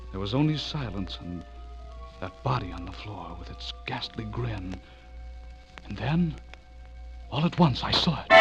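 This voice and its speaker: An earnest, haunted storyteller in a radio horror play. His lowish voice swings dramatically with suspense and intensity. The sound is muffled, with prominent music underneath. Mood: uneasy, brooding, then terrified, urgent. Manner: theatrical, intense, suspenseful delivery. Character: earnest, rattled man narrating his own horror story. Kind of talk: dramatic first-person radio horror narration and dialogue.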